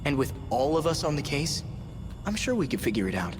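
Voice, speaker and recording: A man's voice: on the high side, sing-song, fairly quick, background noise. An actor playing an earnest, inquisitive teenage boy hero in a video game, with an eager, youthful manner. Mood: eager, hopeful. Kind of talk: video game cutscene acting.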